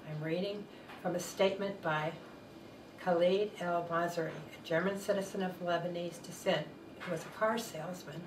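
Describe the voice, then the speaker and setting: Older woman reading in a roomy space. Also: fairly low pitch. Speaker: reader of a testimony. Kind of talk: reading a first-person statement aloud. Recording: roomy sound, distant mic, faint noise.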